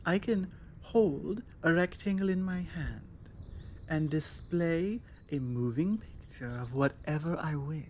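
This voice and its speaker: A woman with a British accent playing a forward, confident female vampire in an intimate ASMR roleplay, teasing and coaxing with deliberate pauses. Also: sits low, a little hiss or hum. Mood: musing, playful. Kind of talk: ASMR roleplay, intimate one-sided conversation. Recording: steady outdoor ambience with birdsong. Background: birdsong and outdoor ambience.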